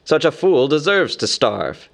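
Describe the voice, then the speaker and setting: A man's voice: a little high, very animated, a little slow, gravelly, exaggerated voice. A man voicing a grim, suspicious male warrior leader in a storybook, speaking tersely and with challenge. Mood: scornful. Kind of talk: storybook character voice.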